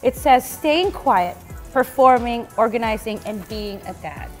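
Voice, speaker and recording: A woman's voice: fairly high pitch, animated, measured pace, over music. A woman hosting a playful casual chat on a decorated studio set, bubbly and giggly. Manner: bubbly, giggly, switches into Filipino words. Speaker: host.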